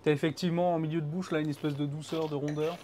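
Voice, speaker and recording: A man's voice: fairly high pitch, flat delivery, fast talker, mellow, slight background noise. Man hosting a casual wine tasting. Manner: hesitant, many fillers, descriptive tasting talk. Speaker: host.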